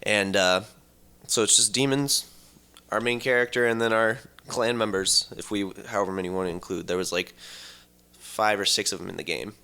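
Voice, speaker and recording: A man co-hosting a podcast, casually explaining plots in a halting way as he pieces his thoughts together. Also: fairly low pitch, fairly even intonation, pushed and bright, clear, resonant tone. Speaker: podcast co-host.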